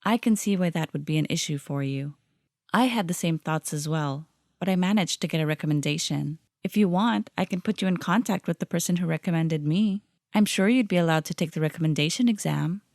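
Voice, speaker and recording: A composed teenage schoolgirl, played by a woman, speaks in a low, even voice. She is calm and courteous with everyone. The recording sounds dry and close. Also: a little fast, no echo, natural voice. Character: composed, helpful teenage girl student. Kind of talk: fan audio drama acting.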